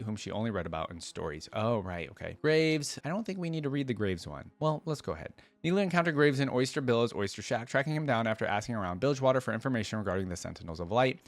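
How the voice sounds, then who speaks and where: A man's voice: fairly low pitch, no room sound. A man hosting a reaction video, reading lore aloud and breaking off into casual, conversational musing.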